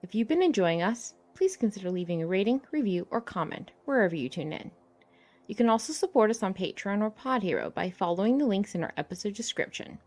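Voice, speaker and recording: A woman's voice: medium pitch, animated, brisk, a little mellow. A woman reading scripted end credits for a fiction podcast. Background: faint music.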